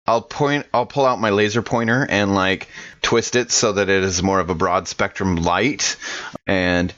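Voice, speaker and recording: A man playing a tabletop game, talking in a casual, deadpan way. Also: deep voice, a little slow. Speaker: player. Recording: dull lo-fi audio.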